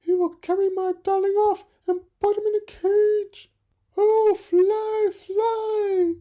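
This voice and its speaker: An anxious storybook mother bird acted out by a man speaks in a very high falsetto. She is protective and fretful, with urgency in her delivery. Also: flat delivery, takes it slowly, dark tone. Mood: anxious, urgent.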